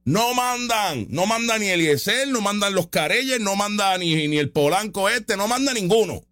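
Brief: Puerto Rican male commentator, high, bright voice, excitable and mocking, dry close mic. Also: moderate pace, no room sound. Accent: Puerto Rican Spanish. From Puerto Rico. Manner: excitable, mocking, repeats himself. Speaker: online commentator reacting to a trial stream. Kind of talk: casual live commentary.

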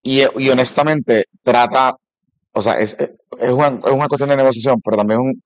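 A man with a Puerto Rican accent in casual conversation, thinking aloud in a halting way. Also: mid-range voice, no room sound. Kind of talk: casual conversation about parenting. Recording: slightly dull, lo-fi sound.

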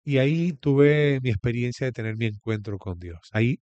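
A man's voice: medium pitch, normal speed, darkish tone, dry recording, muffled recording. A man from Venezuela, a guest pastor giving his testimony in an interview in an earnest, preacherly storytelling way.

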